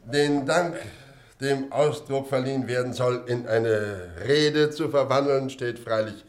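A man's voice: mid-range voice, measured pace, close to an everyday voice. A man playing a pompous company director in a radio play, giving a formal, stilted, ceremonious speech.

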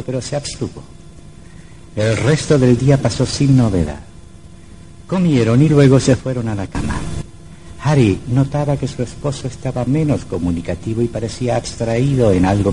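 An actor playing a sinister storyteller, narrating an old radio horror drama in a theatrical, literary style. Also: male voice, medium pitch, mellow, slight background noise, close to an everyday voice, poor audio.

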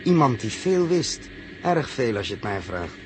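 A suspicious, grumbling crewman played by an actor in an old radio drama. His mid-range male voice is edgy and emphatic. Clear noise sits behind the sixties recording. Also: medium pitch, very animated, moderate pace, lightly acted. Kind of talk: 1960s Dutch radio drama acting. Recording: noisy 1960s radio recording.

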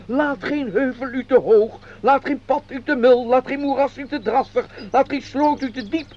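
A man's voice: extremely high pitch, moderate pace, darkish tone. An actor playing a fussy, obsequious male company administrator who speaks formally and pompously in a radio play. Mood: pompous, ceremonious. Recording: dull lo-fi radio play recording.

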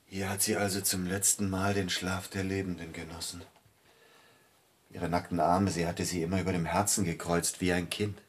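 An actor playing a celebrated pianist and bereaved husband in a German radio play, speaking formally and politely with some hesitation. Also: male voice, sits low, level tone, normal speed, husky. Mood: brooding, grieving.

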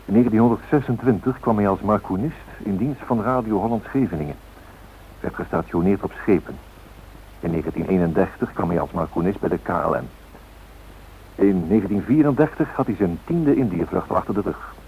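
An actor playing a solemn, reflective narrator in a radio play, reading in a measured way. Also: male voice, deep voice. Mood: solemn, factual. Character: solemn, reflective male documentary-drama narrator. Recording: tinny hissy old radio recording.

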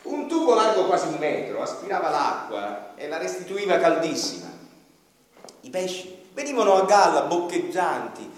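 Man, fairly high voice, declaiming a bitter monologue for radio theatre; picked up from a distance in a very roomy space with violin behind. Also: big pitch swings, normal speed. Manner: declamatory, bitter and mournful, with sharp swings in loudness. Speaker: narrator of a radio play. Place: theatre stage. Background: violin music.

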